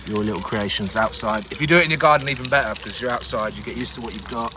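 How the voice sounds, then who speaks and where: A man's voice: mid-range voice, fast talker, noisy background. A man hosting a casual outdoor cooking show in the woods, talking through each step with plenty of jokes and laughter. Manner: chatty, jokey, laughs often.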